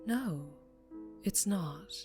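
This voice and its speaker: A woman playing a ruthless, teasing mafia boss in an ASMR roleplay, commanding and condescending, often dropping into breathy murmurs. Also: mid-range voice, lively intonation, extremely slow, mellow, soft background music. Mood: menacing, controlled anger.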